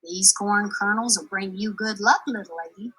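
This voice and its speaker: A woman's voice: mid-range voice, normal speed, bright, forceful tone, breathy edge, rough recording. A woman voicing a sly cowboy stranger in a storybook, coaxing like a folksy trader.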